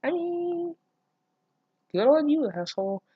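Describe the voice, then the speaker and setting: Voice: sits high, big pitch swings, slow pace, dry recording, phone-quality sound. A person reading fan fiction aloud casually, breaking off with mocking, incredulous, sweary commentary. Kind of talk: casual reaction reading with sarcastic asides.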